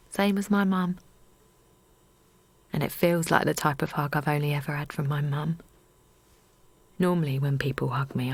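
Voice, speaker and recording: A woman's voice: deep voice, dry recording. Anxious young mother. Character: anxious, naive young English mother, shaken and vulnerable. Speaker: actor and narrator in an audio drama. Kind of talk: intimate first-person audio drama acting.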